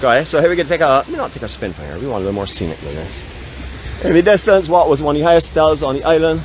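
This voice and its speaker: A man's voice: on the high side, animated, racing. A Barbadian man hosting a live walking-tour vlog, chatting and joking about himself as he walks outdoors by a beach boardwalk, phone in hand. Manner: chatty, joking self-mockery. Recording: outdoor street noise behind.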